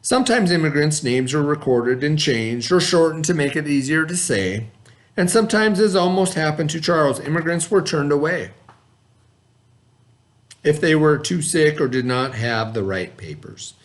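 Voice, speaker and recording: A man's voice: on the high side, animated. Man teaching a children's read-aloud lesson. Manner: patient, asks children questions and pauses.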